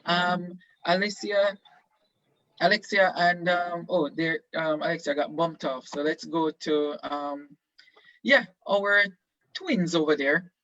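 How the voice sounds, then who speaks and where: A man teaches a class over a video call with a bright, fairly high voice. He encourages his students and keeps asking them questions, filling pauses with "um". The audio is dry and a bit lo-fi. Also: fairly even intonation, dry recording. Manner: encouraging, questioning, many fillers. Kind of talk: leading an online class discussion.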